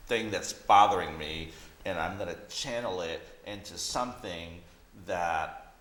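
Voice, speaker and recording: A man's voice: sits low, unhurried, a bit bright. A man chatting casually and reflectively as a visual artist, often saying "you know". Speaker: visual artist being interviewed. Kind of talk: casual conversation. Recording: roomy echo, faint background noise.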